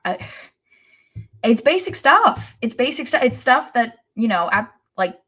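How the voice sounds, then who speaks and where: A woman's voice: a little high, sing-song, measured pace, bright, forceful tone, slightly rough. A woman co-hosting a soccer podcast, giving casual but emphatic and opinionated match analysis.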